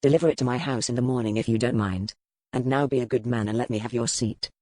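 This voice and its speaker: A man's voice: medium pitch, steady tone, fast talker, darkish tone, dry recording, clean studio sound, close to an everyday voice. A man playing an arrogant aristocratic rake in a historical romance audiobook, with a pompous, formal, insinuating manner of mocking menace.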